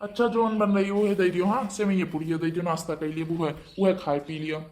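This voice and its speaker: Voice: medium pitch, flat delivery, quick pace, darkish tone, a little hiss or hum, lightly acted. A performer voicing a young married man, dutiful to his mother, with an Awadhi accent in a family cartoon. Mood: dutiful, admonishing. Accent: Awadhi, eastern Uttar Pradesh.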